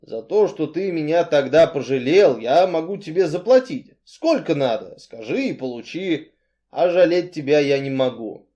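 A man voicing a brooding, weary strongman who speaks bluntly and emphatically in halting, colloquial phrases. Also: fairly high pitch, lively intonation, dark tone, natural voice. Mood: blunt, emphatic.

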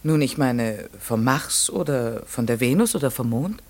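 A polite, methodical man in a classic radio drama. His medium-pitched voice is careful and articulate, with a curious, tentative courtesy. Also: animated, measured pace, darkish tone. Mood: curious, probing. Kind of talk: classic German radio play, narration and dialogue.

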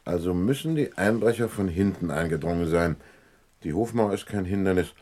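A police inspector in a vintage radio crime drama. His voice is low, and his delivery is measured and official. He is courteous on the surface, stern and methodical underneath. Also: a little mellow. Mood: probing, courteous. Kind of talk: vintage German radio crime play acting.

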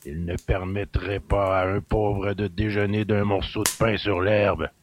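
A man voicing a surly, grumbling middle-aged country innkeeper with rustic speech and a gravelly growl. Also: fairly low pitch, flat delivery, unhurried, noisy background, voice pushed down, lightly acted. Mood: resentful, scornful.

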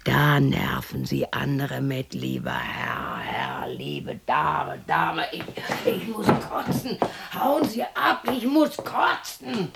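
An actress playing a lonely, embittered widow in her sixties, muttering erratically to herself in a husky, breathy radio play monologue. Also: low voice, big pitch swings, no echo, full character voice. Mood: irritated. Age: 60s. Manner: muttering, brooding, erratic, halting. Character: lonely, embittered sixty-year-old widow talking to herself.

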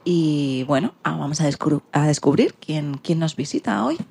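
A woman's voice: low-pitched, animated, moderate pace, gravelly, darkish tone, slightly rough. A woman from informally introducing a section of a radio programme.

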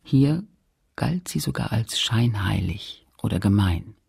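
A man with a raspy, gravelly voice reading sober literary narration in a radio play. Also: lowish voice, animated, slow pace, a little mellow, exaggerated voice.

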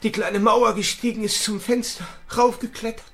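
A man's voice: high-pitched, fairly even intonation, moderate pace, a bit bright. An actor playing a furtive, wounded, apologetic man in a radio drama, speaking in hesitant, secretive bursts. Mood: strained, secretive. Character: Julien, furtive, wounded, apologetic male intruder. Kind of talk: German radio drama acting.

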